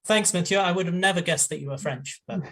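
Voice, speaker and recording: A man's voice: sits high, fast talker, sharp tone. A man hosting a live online science broadcast, introducing his colleagues with fillers and small laughs. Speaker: host.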